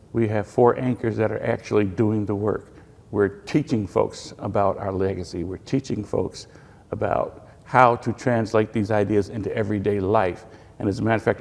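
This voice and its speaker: Gravelly older man, emphatic. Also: on the low side, a little mellow. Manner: earnest, emphatic, builds to punchy lines. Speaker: museum representative. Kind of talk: interview answers. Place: indoor hall with displays. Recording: hall reverb.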